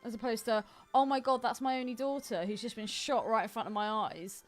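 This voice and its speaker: A woman's voice: a little high, steady tone, brisk, faint music behind. A woman co-hosting a film podcast, chatting casually in a blunt, teasing way. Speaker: podcast co-host.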